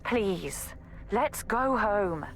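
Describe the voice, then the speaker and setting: A woman's voice: mid-range voice, lively intonation, drawn-out words, a bit bright, faint music behind, exaggerated voice. An actress playing an exasperated, protective young woman assassin in a video game audio log, scolding and pleading emphatically. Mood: apologetic, frustrated.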